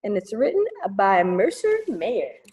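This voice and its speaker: A woman's voice: medium pitch, huge pitch swings, measured pace, a little mellow. A woman hosting a children's storytime, reading aloud playfully with casual, exclamatory asides. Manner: playful, exclamatory, commenting as she reads. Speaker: children's librarian storytime host.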